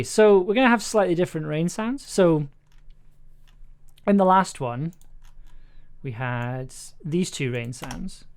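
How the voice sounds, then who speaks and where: Casual livestream chat, medium pitch, informal, thinking aloud, close desk mic. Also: a little mellow. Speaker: streamer, audio drama producer. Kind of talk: casual livestream chat while editing audio. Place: indoors at a computer desk. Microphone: desk microphone.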